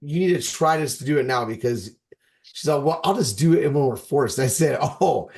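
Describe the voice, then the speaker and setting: A man's voice: medium pitch, lively intonation, moderate pace, gravelly, a little mellow. A man in his forties talking casually on a podcast. He rambles and stresses his points, often restarting his sentences. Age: 40s. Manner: rambling, emphatic, with frequent restarts.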